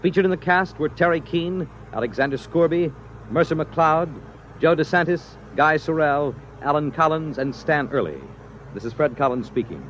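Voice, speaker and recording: A male announcer giving the closing credits of a 1950s radio drama in a crisp, measured way. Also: fairly high pitch, a bit bright. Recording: dull old radio transcription with faint hiss.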